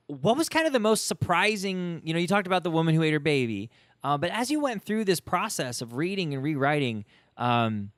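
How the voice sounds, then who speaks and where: A man's voice: mid-range voice, a bit bright, no echo. A man hosting a podcast, chatting casually and informally with exclamatory reactions. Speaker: podcast host. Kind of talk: casual interview chat.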